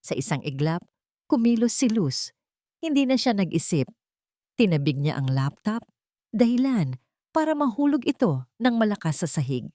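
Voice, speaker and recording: A woman's voice: medium pitch, big pitch swings, moderate pace, pauses often, dark tone, no room sound, clean studio sound. A woman narrating a dramatic story as a scripted storyteller.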